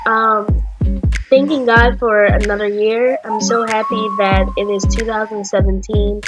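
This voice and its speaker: A woman's voice: mid-range voice, fairly even intonation, a little slow, highs cut off, over loud music. A nineteen-year-old woman chatting in a casual home vlog, bubbly and chatty and slipping into sung phrases, recorded on a webcam in a small room. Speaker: vlogger.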